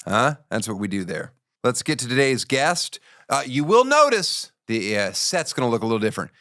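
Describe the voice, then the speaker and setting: Male podcast host, mid pitch, joking and blunt, dry close recording. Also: very animated, a little fast, sharp tone, dry recording. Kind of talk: comedic podcast banter.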